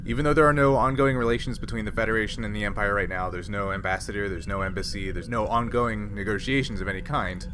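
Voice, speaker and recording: A man's voice: on the low side, steady tone, fast, hardly any pauses, background noise. A man narrating as game master of a tabletop roleplaying game, explaining in a conversational way. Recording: music playing behind the voice. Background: music.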